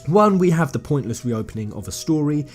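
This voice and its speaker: A man's voice: fairly high pitch, sing-song, fairly quick, darkish tone, soft background music. A man giving an informal explainer commentary on video game lore, talking conversationally and emphatically to his viewers. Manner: conversational, addresses viewers as guys, emphatic phrasing.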